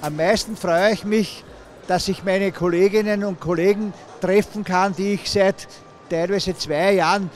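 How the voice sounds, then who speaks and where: An older man speaking warmly and heartfelt into a handheld mic. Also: high voice, steady tone, a little slow, soft background music. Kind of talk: event interview.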